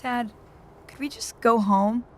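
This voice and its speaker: A woman's voice: on the high side, steady tone, unhurried, a little mellow, natural voice. A woman acting in an audio drama as a reluctant, subdued young girl auditioning as a child actress.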